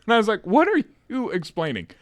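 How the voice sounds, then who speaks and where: A man's voice: wildly swooping pitch, fast, no room sound. A man co-hosting a podcast in casual chat, speaking with lots of inflection and laughing often. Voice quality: naturally deep voice. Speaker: podcast co-host.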